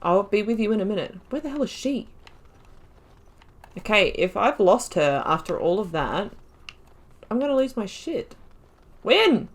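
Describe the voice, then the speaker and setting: A woman's voice: mid-range voice, very animated, a little slow, long pauses. A woman hosting a game playthrough, chatting casually, swearing and reading dialogue choices aloud. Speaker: gaming video host. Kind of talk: casual let's play commentary.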